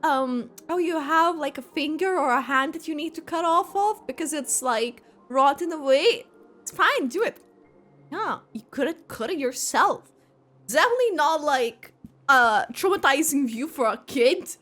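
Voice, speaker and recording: A woman game streamer giving casual reaction commentary, halting as she thinks aloud. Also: high voice, animated, fairly bright tone. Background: game music.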